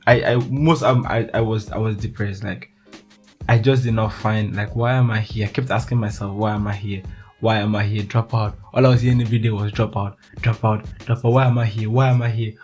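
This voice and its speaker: A man's voice: fairly low pitch, steady tone, brisk, a little mellow, soft background music. A young Nigerian man hosting a solo podcast, talking in a chatty way with frequent laughs and restarts. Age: young adult. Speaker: podcast host.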